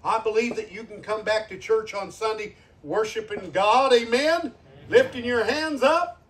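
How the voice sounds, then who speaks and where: A man's voice: sits high, a little slow, a bit bright, far from the mic, slight background noise. An older man, a guest preacher in his sixties, delivering an earnest sermon and repeating phrases for emphasis. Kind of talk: sermon with altar call.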